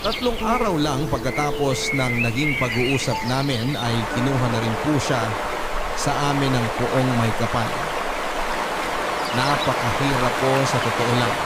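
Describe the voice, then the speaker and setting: A man playing a grieving widowed father, narrating a first-person story in a measured, sorrowful, earnest radio-drama style. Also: medium pitch, heavy background noise, natural voice. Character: grieving widowed father recounting his lost love. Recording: loud music bed under the voice.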